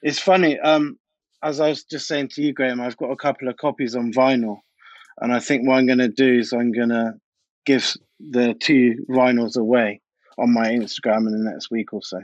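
A man's voice: mid-range voice, level tone, normal speed, dry recording, muffled recording. A British man talking casually and anecdotally as a DJ and producer being interviewed, in informal, colloquial speech. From United Kingdom. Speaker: interview guest, DJ and music producer.

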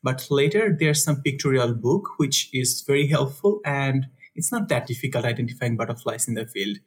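A young man from Bangladesh, a conservation researcher answering interview questions in a soft, easygoing way with frequent fillers and laughs. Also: mid-range voice, lively intonation, normal speed, slightly rough.

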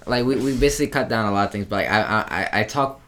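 Low-voiced young man with a lisp. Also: a bit bright, slight background noise. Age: young adult. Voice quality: slight lisp. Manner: fast, repetitive, slangy, self-deprecating. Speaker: podcast co-host. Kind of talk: casual chat.

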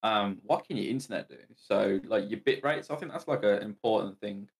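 A man's voice: lowish voice, a little fast, creaky. A man giving casual advice to new streamers, hesitant and full of "um"s. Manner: hesitant, many "um"s. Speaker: guest streamer.

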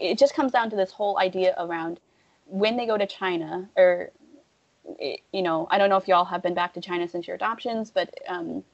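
A young woman talking casually and at length, full of fillers, turning animated when she quotes other people. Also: lowish voice, vocal fry. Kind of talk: casual conversation. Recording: dull lo-fi audio.

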